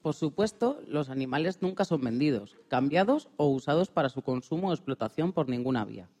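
A man's voice: fairly high pitch, animated, a little fast, darkish tone, faint music behind. A man co-presenting a radio programme, narrating from a script.

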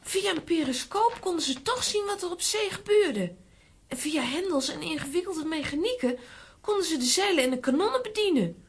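A woman playing an excitable, plucky young girl in a children's audio drama. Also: extremely high pitch, normal speed. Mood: eager, explanatory.

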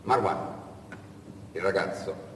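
A male storyteller delivers an expressive, dramatic stage narration. He is deliberate and leans on repetition. The recording is distant, very echoey and slightly noisy. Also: low-pitched. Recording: echoey hall from far off, noisy.

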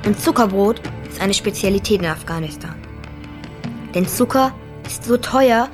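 A woman's voice: fairly high pitch, lightly acted. Reflective young boy narrator over music female voice. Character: lonely young Afghan boy narrating his story. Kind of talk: radio play narration.

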